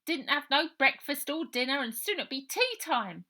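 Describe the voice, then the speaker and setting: Storybook role: woman plays wary young runaway boy, scruffy and defiant, rough street grammar, pitched well up. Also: very animated, normal speed, sharp tone, full character voice. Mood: hungry, complaining. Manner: rough colloquial working-class English grammar. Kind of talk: children's storybook character voice.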